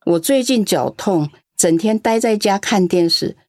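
An actress playing a lonely, kindly old grandmother in a radio play, speaking slowly and gently. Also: medium pitch, expressive, dry recording, clean studio sound, close to an everyday voice. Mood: gentle. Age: older. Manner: slow, gentle, grandmotherly; tearful when upset.

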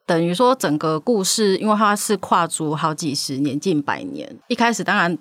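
A woman's voice: on the low side, very animated, normal speed, a bit bright, dry recording. A woman with a Taiwanese Mandarin accent, talking casually as a guest author explaining her writing on a podcast.

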